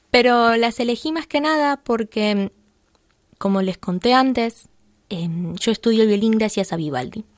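A woman's voice: on the high side, a little slow, dry recording. Young argentine woman, buenos aires accent, earnest and heartfelt, dry close mic. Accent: Argentine Spanish (Buenos Aires). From Argentina, Buenos Aires area. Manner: earnest, heartfelt, with soft laughs. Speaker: violinist guest. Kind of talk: radio interview chat.

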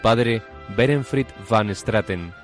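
A man's voice: on the low side, slow. A man reading a scripted quotation for a radio programme. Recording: loud music under voice. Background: instrumental music.

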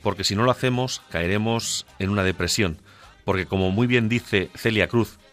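Low-voiced Castilian man declaiming on radio. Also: normal speed, a bit bright. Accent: central Castilian Spanish. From La Mancha, central Spain. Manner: declamatory, rhetorical, emphatic. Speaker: radio contributor. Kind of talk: impassioned scripted radio monologue. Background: music under the voice.

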